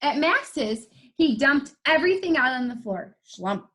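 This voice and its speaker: A woman's voice: sits high, sing-song, a little slow, fairly bright tone. A woman reading a picture book to young children, with playful sound words and short chatty reactions. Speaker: read-aloud co-presenter for young children. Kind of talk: animated storybook narration with chatty asides.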